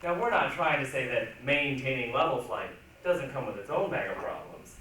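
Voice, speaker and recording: Animated male stage reader, distant and echoey. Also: medium pitch, normal speed, a little hiss or hum. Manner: projected, theatrical. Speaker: stage performer. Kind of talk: readers' theatre comic narration.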